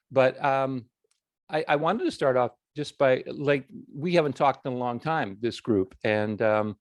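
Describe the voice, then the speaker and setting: A man's voice: medium pitch, dry recording. A man hosting a video call chat, easygoing and friendly, asking open questions. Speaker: host. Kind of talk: casual chat.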